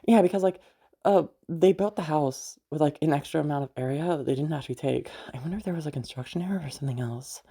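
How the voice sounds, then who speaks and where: A woman's voice: low voice, fast talker, warm tone, slightly rough. A woman playing a chatty, friendly, easily flustered escort in a roleplay audio drama, rambling informally and swearing casually. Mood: casual, enthusiastic. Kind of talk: roleplay audio drama, casual improvised-sounding monologue to the listener.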